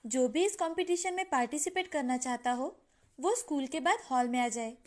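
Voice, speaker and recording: High-pitched woman narrating a children's story. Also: normal speed. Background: background music.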